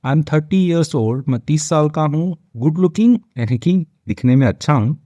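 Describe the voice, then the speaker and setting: A man's voice: mid-range voice, mellow, dry recording. An Indian-accented man teaching English, reading a story line by line and explaining it in colloquial asides.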